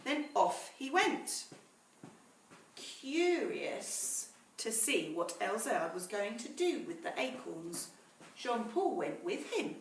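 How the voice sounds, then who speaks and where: A woman reading a story aloud to children in a teacherly way, pausing to explain words and ask questions. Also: fairly high pitch, sing-song. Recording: roomy echo, distant mic, faint noise.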